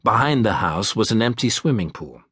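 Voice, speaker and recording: A man's voice: on the low side, animated, moderate pace, fairly bright tone, husky, no room sound. A man reading a thriller audiobook as scripted narration, close on a studio microphone. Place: dry studio.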